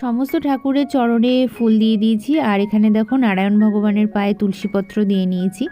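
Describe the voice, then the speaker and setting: A woman's voice: medium pitch, level tone, quick pace, dark tone, faint music behind. A young woman with a West Bengali accent narrates a casual daily vlog, talking to viewers in a chatty, familiar way and mixing in English words. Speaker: vlogger.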